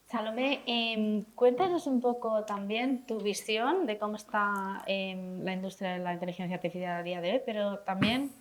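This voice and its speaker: Woman hosting a panel, normal pitch, prompting guests with questions, unscripted. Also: normal speed. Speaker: moderator.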